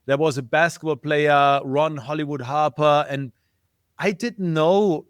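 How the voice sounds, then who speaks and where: A man's voice: fairly high pitch, fairly even intonation, a little slow, clear, resonant tone, no echo, clean studio sound. A middle-aged man with a German accent, a guest in an interview, thinking aloud and searching for his words. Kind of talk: casual interview talk.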